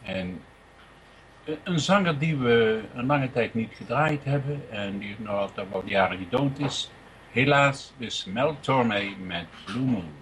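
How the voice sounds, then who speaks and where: A man's voice: mid-range voice, expressive, a bit bright. Man playing a rambling, hesitant radio host, noisy recording. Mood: casual, rambling. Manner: halting, rambling, chatty. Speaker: radio music programme host. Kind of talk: informal live radio presenting. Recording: clear background noise.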